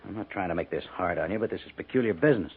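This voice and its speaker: An actor playing a solicitous, careful army major at a frontier fort in a 1950s radio western. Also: male voice, sits low, animated, very fast, breathy edge, phone-quality sound, heavily acted. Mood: careful, grave. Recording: thin, band-limited 1950s radio recording.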